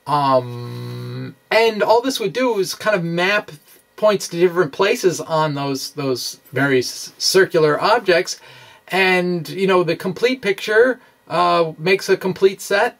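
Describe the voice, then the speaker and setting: A man giving an informal science explanation over animations, speaking haltingly and correcting himself as he goes. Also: on the high side, vocal fry. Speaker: science video blogger.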